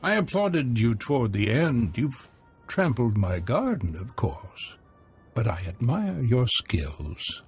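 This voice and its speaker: A coolly menacing old man from a video game villain scene. He talks with courtly politeness at a middling pitch, with music clearly behind him. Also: sing-song, slow pace, full character voice. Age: older. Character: sinister old man leading a hidden cell, coolly menacing. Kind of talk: video game cutscene acting. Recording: game audio over loud music.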